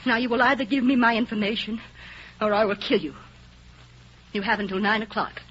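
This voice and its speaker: A woman's voice: fairly high pitch, steady tone, fairly quick, a bit bright, breathy edge. An actress playing a proud, vengeful, lovelorn female agent with intense, melodramatic delivery in a 1930s radio drama. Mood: cold, threatening. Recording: noisy, muffled vintage radio recording.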